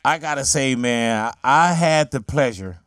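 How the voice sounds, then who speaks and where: A man's voice: mid-range voice, unhurried, a bit bright, clear, resonant tone, no room sound. A man from Los Angeles hosting a radio interview, talking in an enthusiastic, slangy, emphatic way into a studio microphone. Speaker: radio show host. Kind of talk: casual interview chat.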